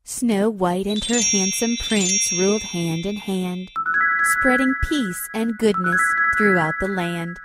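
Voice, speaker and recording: Slow-paced woman storyteller over music. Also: medium pitch. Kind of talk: scripted rhyming fairy-tale narration for children. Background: loud music with chimes.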